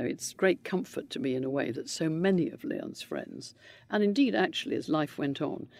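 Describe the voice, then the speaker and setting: An older man, answering interview questions in a measured, gentle and reflective way. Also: fairly high pitch, creaky, no echo. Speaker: interviewee, widower of a politician.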